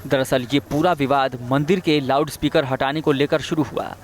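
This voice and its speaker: A man delivers a scripted television news voice-over. His voice sits at a medium pitch, and he narrates as a reporter presenting a packaged report. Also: fairly even intonation, normal speed, slight background noise.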